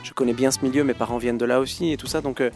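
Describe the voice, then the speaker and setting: A man's voice: mid-range voice, fairly even intonation, very fast, a little mellow, soft background music. A man in his thirties giving thoughtful interview answers as a guest musician, hesitating often with fillers and restarts.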